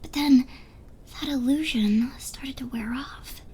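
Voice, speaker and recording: A woman's voice: sits high, slow pace, a little mellow, husky, heavily acted, low-quality recording. A young woman playing a drunk, giddy, smitten rival in an ASMR roleplay, rambling and stammering as she trails off. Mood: dreamy, rambling.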